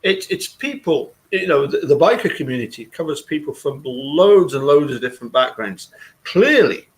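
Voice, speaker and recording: A man's voice: on the high side, expressive, normal speed. A man hosting a live chat, rambling casually with many repeated words and frequent chuckles. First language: English.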